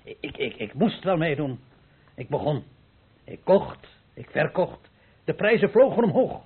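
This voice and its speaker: Husky, dejected bankrupt innkeeper. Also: male voice, fairly high pitch, very animated, unhurried, full character voice. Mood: agitated, regretful. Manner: hesitant, stammering, rueful. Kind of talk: Dutch radio play acting. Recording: muffled old radio play recording.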